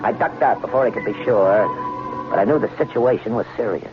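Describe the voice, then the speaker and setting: An actor playing a bossy, greedy American swindler in an old-time radio drama, slangy and cocky. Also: male voice, lowish voice, fairly quick, lightly acted. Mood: cocky narrating. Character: brash, bossy, greedy American swindler telling his story. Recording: muffled vintage radio, music underneath.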